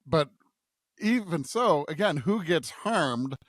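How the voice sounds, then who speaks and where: Halting, reflective man in a dry studio. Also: on the high side, lively intonation, no echo. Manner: halting, reflective, often seeking agreement. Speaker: podcast co-host. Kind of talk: conversational scripture discussion.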